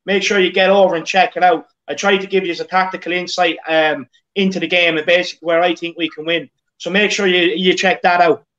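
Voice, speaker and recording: A high-pitched Irish man hosts a casual live stream about football, reading viewer comments aloud. He fills gaps with "um" and stumbles over repeated words. The sound is dull and lo-fi. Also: little pitch movement, fairly quick, few pauses, fairly bright tone. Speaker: host.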